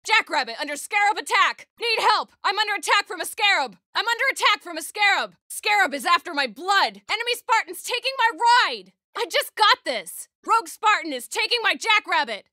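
A woman's voice: very high voice, big pitch swings, brisk, few pauses, pushed and bright, no echo, clean studio sound, exaggerated voice. A woman playing a plucky, cheeky young military scout driver in a video game, giving clipped radio-style calls with wry quips. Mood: urgent, alarmed. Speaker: game voice actor.

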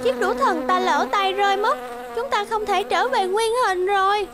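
A woman voicing a brave, gentle young princess in a fairy-tale cartoon. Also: extremely high pitch, normal speed. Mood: urgent.

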